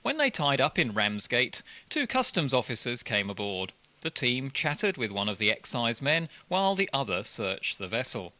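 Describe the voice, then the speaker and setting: A man's voice: mid-range voice, big pitch swings, moderate pace, pushed and bright, dry recording, rough recording. A man reading articles aloud as scripted narration, as a radio presenter.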